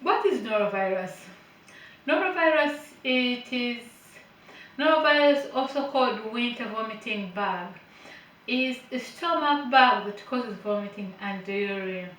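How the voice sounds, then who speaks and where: A woman's voice: fairly high pitch, lively intonation, measured pace, fairly bright tone. A woman from Uganda, a care worker giving an informal health lesson, reading notes aloud and explaining them with hesitant fillers and light chatty asides. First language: not English; Finnish is her third language. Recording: echoey room, voice a bit far from the mic.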